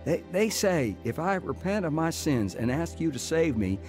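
An actor playing a tough career criminal with rough, slangy street talk, in a radio drama. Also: male voice, fairly high pitch, sing-song, normal speed, darkish tone, soft background music. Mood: humbled, earnest. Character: tough, broke career criminal, jailed, turning repentant.

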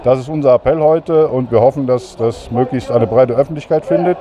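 A man's voice: mid-range voice, brisk, a loud crowd. A man in his fifties presenting an emphatic on-location report to camera outdoors. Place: city street outdoors. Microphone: handheld mic. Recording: dull outdoor sound, faint street noise.

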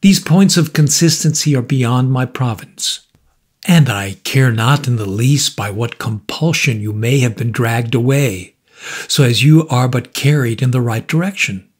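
A man's voice: mid-range voice, a little slow, husky. A man voicing a polite, composed supernatural visitor in a storybook reading, speaking with pleasant formality and cool firmness. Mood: cool, indifferent.

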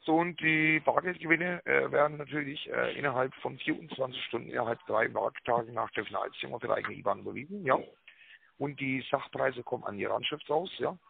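Gravelly man on a tinny phone line. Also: mid-range voice, brisk. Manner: repetitive tag words, hesitant fillers. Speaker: telemarketing caller pitching a prize draw. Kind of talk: rehearsed phone sales pitch.

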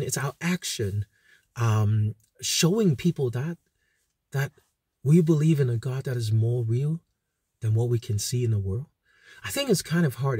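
A man in his thirties giving an informal sermon as a pastor, speaking haltingly with frequent hesitations and repeated words. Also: medium pitch, warm tone, slightly rough, no room sound, clean studio sound. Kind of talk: informal recorded sermon with Bible teaching. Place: inside a parked van at night.

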